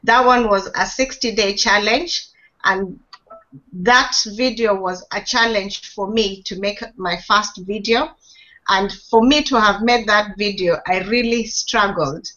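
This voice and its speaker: A woman's voice: medium pitch, a little slow, bright, forceful tone. A woman speaking hesitantly with many restarts on a live video call, sharing her experience. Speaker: online marketing member. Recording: dull lo-fi video call audio.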